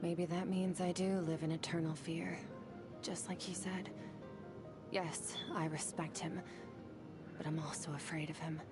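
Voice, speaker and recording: A woman voicing a reserved young swordswoman in a video game, speaking in a quiet, measured way that often drops to a half-whisper. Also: on the low side, flat delivery, over music, lightly acted. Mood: somber.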